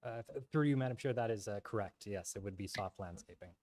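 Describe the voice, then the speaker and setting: A man's voice: lowish voice, fast, darkish tone. A man representing an applicant, answering formally and politely at a public hearing. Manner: polite, formal, hesitant starts.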